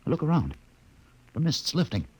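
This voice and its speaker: Curious scientist with swooping intonation. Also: male voice, a little high, wildly swooping pitch, moderate pace, darkish tone, breathy edge, full character voice. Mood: curious, musing. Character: curious, observant male scientist on a space expedition. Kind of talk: 1950s radio drama acting. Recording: noisy old 1950s radio recording.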